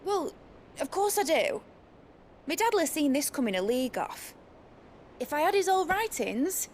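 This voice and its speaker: High-pitched, brash young ship engineer. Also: female voice, very animated, normal speed, a little hiss or hum. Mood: wry, cocky. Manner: salty, colloquial, boastful. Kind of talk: lively fantasy game voice acting.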